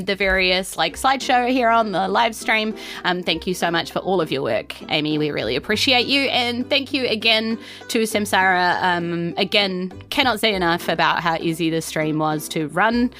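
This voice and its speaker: A woman's voice: medium pitch, lively intonation, normal speed, few pauses, bright, forceful tone. A woman with a New Zealand accent hosting a tabletop game podcast in an informal, rambling, self-deprecating way. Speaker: game master and podcast host. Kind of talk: casual live-streamed game narration and chatty sign-off. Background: faint music.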